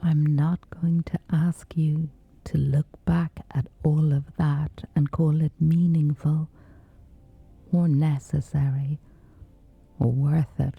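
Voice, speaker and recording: A woman gently guiding a scripted sleep meditation in a soothing, breathy whisper, unhurried throughout. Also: low-pitched, flat delivery, dry recording, narrow, tinny audio, soft background music. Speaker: meditation guide.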